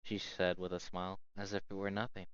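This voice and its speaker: A man's voice: sits low, completely flat delivery, fairly quick, a bit bright, clean tone, dry recording, highs cut off. A man reading a visual novel aloud as a let's-play host, narrating with casual asides and occasional stumbles. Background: game background music.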